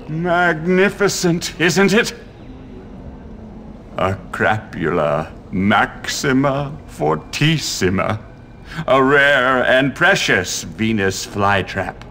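An actor playing an eccentric old biology teacher in a video game, pompous, imperious and sinister. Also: male voice, on the high side, pushed and bright, slight background noise, full character voice. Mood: grandiose delight. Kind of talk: video game cutscene acting.

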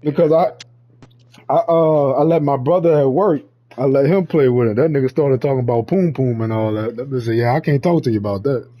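A man's voice: mid-range voice, brisk, dark tone, low-quality recording. A man in casual chat, talking in heavy slang with lots of swearing and frequent laughter. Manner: slangy, profane, laughs often.

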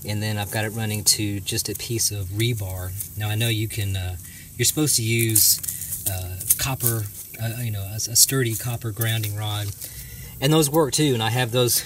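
A middle-aged man with a fairly low voice explains things casually, pausing often as he goes. He is recorded on a handheld camera with faint background noise. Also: fairly bright tone. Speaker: homestead vlogger. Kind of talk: casual hands-on demonstration. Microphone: handheld camera, speaker behind it.

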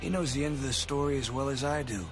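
A man's voice: medium pitch, fast talker, close to an everyday voice. An actor playing a brooding, bitter young mafia hitman in an intimate, streetwise video game monologue. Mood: dark resolve. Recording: loud music behind the voice.

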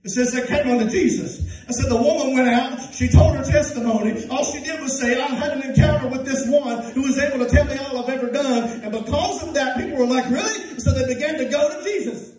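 A man in his thirties with a Southern US accent, preaching a sermon at a church pulpit. He swings from loud, high-pitched preaching to low, soft passages. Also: brisk, hardly any pauses, faint music behind. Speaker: pastor. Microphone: handheld mic, filmed from far off. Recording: echoey church from far off.

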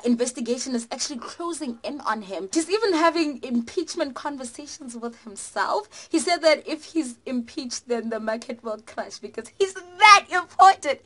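A young South African woman with a fairly high voice hosts a political commentary vlog. She speaks conversationally and emphatically, with an animated, satirical delivery aimed straight at the camera. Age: young adult. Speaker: host of a political commentary show. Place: small indoor room, plain dark wall.

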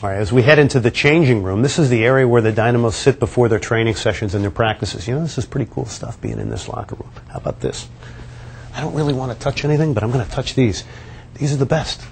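A man's voice: medium pitch, quick pace, gravelly, darkish tone, slight background noise. Upbeat man giving a tour. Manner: upbeat, chatty, pointing things out as he walks. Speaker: sports TV host. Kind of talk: walk-through tour presenting. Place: indoor locker room and hallways. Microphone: lapel mic.

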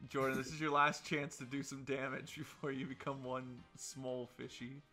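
An excitable, jokey man bantering casually with friends while playing a video game, often breaking into laughter. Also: medium pitch, moderate pace, soft background music. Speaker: player in an online gaming group.

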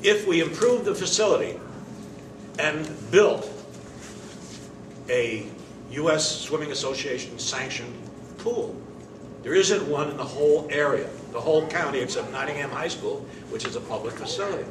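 An older man making an emphatic, indignant statement at a press conference, pausing deliberately between phrases, heard from across an indoor room. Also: fairly high pitch, lively intonation. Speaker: managing member of the facility's owners. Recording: roomy, distant, noisy camera audio.

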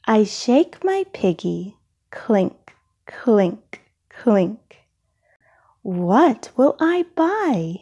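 A woman with a slightly husky voice reading a children's storybook aloud, expressively and with deliberate phrasing for young listeners. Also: mid-range voice, very animated, warm tone. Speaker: storybook reader.

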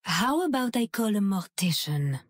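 A woman's voice: mid-range voice, big pitch swings, moderate pace, dry recording, studio quality. An actress playing a cold, aloof female sniper assassin with a French accent and a clipped, disdainful manner. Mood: cold, dismissive.

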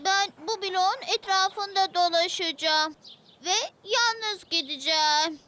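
A woman's voice: very high voice, slow pace, background noise. A woman voicing a curious four-year-old boy in a dubbed cartoon, chatty and full of wonder, with music playing. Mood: determined. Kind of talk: cartoon dub acting. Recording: with background music.

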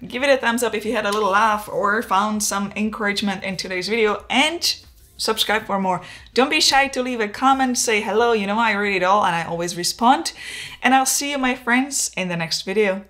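A woman in her forties speaks English with a Czech accent in an easy, chatty vlog manner. Her voice sits at a middle pitch. She is close on a lapel mic in a home studio, with soft music underneath. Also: brisk. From Czech Republic. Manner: relaxed, chatty, self-deprecating, gestures with her hands. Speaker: photography YouTuber. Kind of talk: casual talking-head vlog. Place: home studio room, indoors. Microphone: wireless lapel mic.

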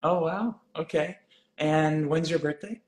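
A man in his thirties hosting a live wellness stream, calm and gently guiding, with slow deliberate phrasing. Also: a little high, roomy sound. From South Africa, raised in Canada. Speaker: wellness host. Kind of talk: live-stream coaching chat. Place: room indoors.

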